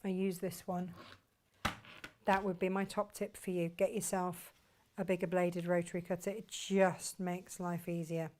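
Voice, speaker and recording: A woman hosting a sewing tutorial, her voice a little croaky from hay fever, chatting casually to viewers while she cuts fabric. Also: lowish voice, level tone, unhurried, a little mellow, slight background noise. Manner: chatty, self-deprecating, talks to viewers while working. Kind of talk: casual craft demonstration.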